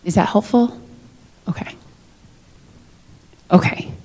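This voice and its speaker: A woman speaking as a management negotiator in a formal but conversational meeting, explaining things in a measured, diplomatic way. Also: mellow, rough recording. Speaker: negotiator for a school district's management. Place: meeting room, live-streamed negotiation session.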